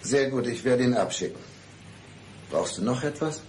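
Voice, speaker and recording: An actor playing a calm, cold-blooded would-be killer in a radio play, measured, deliberate and coolly menacing. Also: male voice, medium pitch, a little hiss or hum, natural voice.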